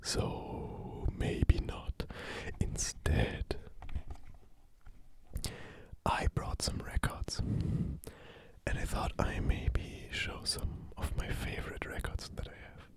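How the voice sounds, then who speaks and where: Male ASMR creator, German accent, hushed whisper throughout, unhurried gentle delivery with pauses. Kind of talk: ASMR, whispered casual monologue.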